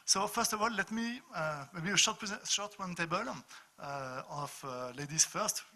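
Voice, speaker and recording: A man's voice: high voice, unhurried, bright, forceful tone. A man moderating a business panel discussion, speaking hesitantly with many fillers and restarts. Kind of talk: moderating an open workshop discussion.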